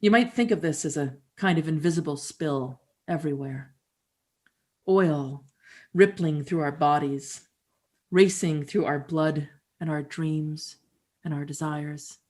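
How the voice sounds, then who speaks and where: A woman performing a dramatic reading as a musician and storyteller, with measured, reflective delivery. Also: sits low, darkish tone. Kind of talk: dramatic reading of documentary theater.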